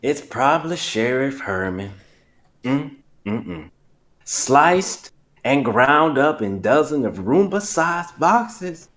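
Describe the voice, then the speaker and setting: A man voicing an unflappable, creepy country man, calm and coldly fatalistic. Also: medium pitch, slow pace, voice pushed up. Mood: grimly matter-of-fact.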